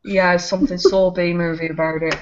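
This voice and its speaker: A woman co-hosting a casual gaming podcast, chatty and quick to laugh. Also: fairly low pitch, little pitch movement, darkish tone, a little hiss or hum. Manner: chatty, laughs often, restarts sentences. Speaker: podcast co-host. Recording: slightly muffled home recording.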